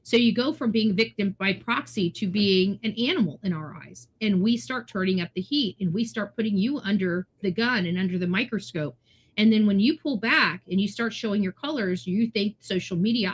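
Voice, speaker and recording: An American woman with a fairly high voice delivers off-the-cuff true-crime commentary. She is blunt and emphatic, lecturing the listener as she lays out her opinions. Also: animated, moderate pace, fairly bright tone. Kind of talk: unscripted opinionated monologue commentary.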